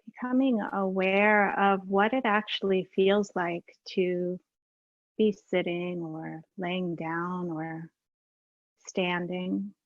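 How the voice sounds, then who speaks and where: A woman leading a guided meditation as a teacher, unhurried and leaving long pauses. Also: fairly low pitch, steady tone, no room sound, lo-fi sound.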